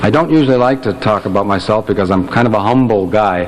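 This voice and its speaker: A man's voice: fairly low pitch, quick pace. A man doing comic stage patter as a quartet member, wry and mock-humble, telling jokes to a live audience. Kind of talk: stand-up comic stage banter. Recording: noisy live hall recording, audience present.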